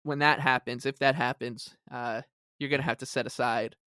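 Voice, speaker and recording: A man co-hosting a sports podcast, chatting casually in a halting, wry way. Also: mid-range voice, steady tone, bright, forceful tone, clear, resonant tone, no room sound. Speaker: podcast co-host.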